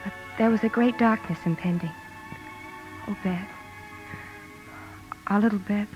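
An actress playing a genteel young sister, acting and narrating in a 1950s radio drama. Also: female voice, mid-range voice, unhurried, lightly acted. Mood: wistful narration. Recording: dull old radio broadcast with music.

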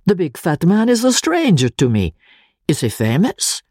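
A narrator voicing an excitable, puzzled little Italian professor with an inquisitive, emphatic way of talking. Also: mid-range voice, normal speed, husky, no echo, clean studio sound, voice pushed up.

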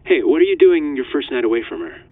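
An actor playing a protective, teasing older brother in an audio drama, dry and evasive, heard over a phone filter. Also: male voice, medium pitch, sing-song, fast talker, no room sound. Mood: teasing, guarded. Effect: phone call filter. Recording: narrow, tinny phone line.

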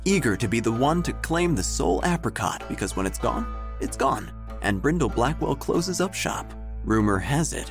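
A man narrating a scripted audio drama in a measured, theatrical, dryly whimsical storytelling manner. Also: mid-range voice.

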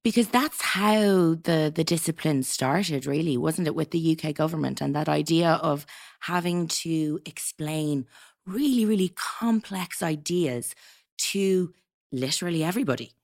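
A woman's voice: low-pitched, measured pace, creaky, darkish tone. A woman interviewer putting questions to a guest in a conversational style.

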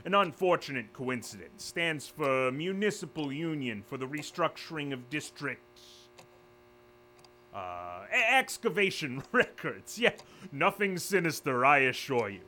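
An actor playing a smooth male corporate official who speaks with false politeness and quiet threat. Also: fairly high pitch, big pitch swings, measured pace, slight background noise, full character voice. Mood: smooth menace. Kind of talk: audio drama acting.

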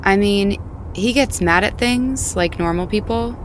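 A woman's voice: medium pitch, unhurried, a little hiss or hum, lightly acted. An actress playing a candid, understated woman with a dry manner in a naturalistic audio drama. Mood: subdued. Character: Antonia, dry, candid woman, a travelling companion.

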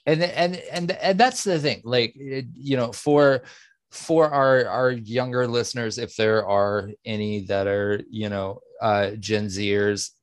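A man's voice: medium pitch, dry recording. A man in his late thirties, co-hosting a podcast in casual chat, rambling along with many fillers and restarts. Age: 30s. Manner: slow, rambling, hesitant with fillers. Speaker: podcast co-host.

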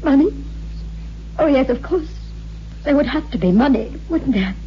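An actress playing a distraught, obsessive widow in a 1960s radio horror drama, intense and insistent. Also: female voice, sits high, animated, normal speed, a little hiss or hum. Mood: tense, bitter. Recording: dull old radio recording, faint music.

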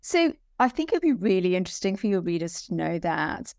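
A woman's voice: lowish voice, very animated, brisk, a little mellow, no room sound, studio quality. A middle-aged woman with a Welsh accent, speaking conversationally as an interview guest, often repeating phrases for emphasis. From Wales. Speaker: podcast guest.

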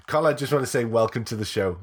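A man hosts a podcast with a slightly croaky voice, as if he has a cold. He talks in a loose, rambling way, circling back and restarting his thoughts. His pitch sits in the middle range. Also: expressive, quick pace. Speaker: podcast host. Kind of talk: casual podcast talk.

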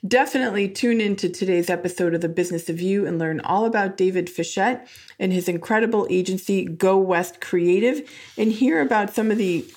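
A woman with an American accent hosts a podcast. Her voice sits at a medium pitch, and she talks in the style of an intro and interview chat. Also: a little fast, darkish tone. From Buffalo, New York, United States. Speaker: podcast host.

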